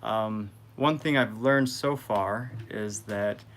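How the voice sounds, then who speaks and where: A man with a fairly low voice gives a casual vlog update, musing and thinking aloud as he talks. Faint ambient noise sits behind him. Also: takes it slowly, fairly bright tone.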